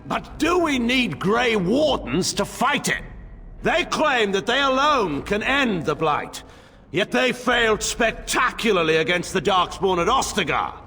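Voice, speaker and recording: A man's voice: high-pitched, big pitch swings, a little slow, pushed and bright, slightly rough, heavily acted. An actor playing a proud, suspicious nobleman general in a video game, declaiming with sardonic, theatrical oratory in a very reverberant hall. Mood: accusing, rousing.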